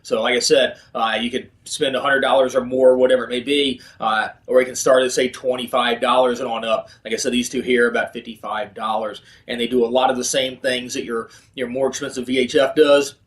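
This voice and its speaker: A man's voice: medium pitch, level tone, fairly quick, few pauses, a bit bright. Man giving casual tips in an echoey room. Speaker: video host, kayak fishing presenter. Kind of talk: casual instructional product talk.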